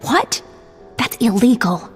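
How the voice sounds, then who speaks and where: An actress playing a young medic in a video game, a concerned friend who is direct and earnest. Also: female voice, a little high, sing-song, slow pace, soft background music. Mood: concerned, firm.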